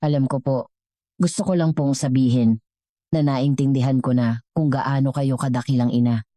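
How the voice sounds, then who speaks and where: A woman's voice: very deep voice, steady tone, moderate pace, dark tone, no echo, studio quality. A woman voicing a humbled, remorseful adult son in a dramatic story, respectful and earnest as he pleads with his mother. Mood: apologetic.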